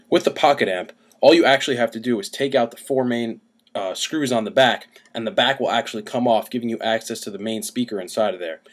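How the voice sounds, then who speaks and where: A young adult man giving a casual how-to explanation, speaking into a webcam at home. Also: on the low side. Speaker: DIY music gear demonstrator. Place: small cluttered room at home. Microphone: webcam about arm's length away.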